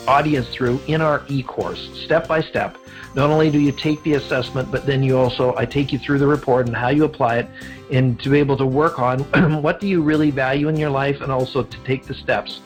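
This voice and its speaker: Canadian man hosting a podcast, music behind. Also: medium pitch. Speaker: podcast host. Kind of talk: conversational podcast hosting and interviewing.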